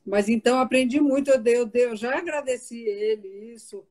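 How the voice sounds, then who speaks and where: An older woman, born in Italy, tells childhood stories in a loose, chatty way. Her voice sits a little high for a woman, and she laughs easily and lets sentences trail into repeats. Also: fairly quick, a little mellow. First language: Italian. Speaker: guest author. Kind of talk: relaxed conversational interview.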